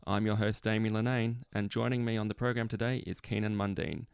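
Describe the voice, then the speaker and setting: A man's voice: fairly low pitch, fairly even intonation, brisk, vocal fry. A man hosting a podcast, asking questions hesitantly with lots of "uh" fillers. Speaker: podcast host.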